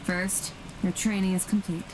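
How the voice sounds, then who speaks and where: A woman's voice: medium pitch, flat delivery, normal speed, natural voice. A woman voicing a reserved, stern young swordswoman master with formal, terse delivery in a video game. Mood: cool, composed. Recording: noisy game stream capture.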